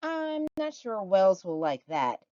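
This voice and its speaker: A woman's voice: medium pitch, big pitch swings, a little slow, darkish tone, no echo, highs cut off. A woman voicing a curious, naive dog in a storybook, in an inquisitive, wide-eyed manner. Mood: doubtful.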